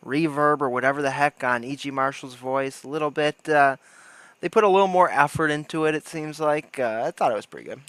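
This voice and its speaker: Male stream host, irreverent casual commentary, slangy, medium pitch, very dry mic. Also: a bit bright, no echo. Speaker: livestream host.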